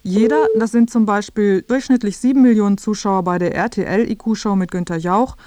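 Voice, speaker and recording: A woman's voice: mid-range voice, moderate pace, heavy background noise. A woman narrating a scripted radio feature, addressing the listener directly in a wry way.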